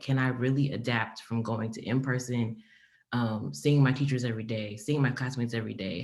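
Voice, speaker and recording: A panelist with a mid-range voice talks about med school in a casual, loose way. Fillers and hedges run through the speech. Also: medium pitch. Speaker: panelist, medical student. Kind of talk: unscripted panel answer.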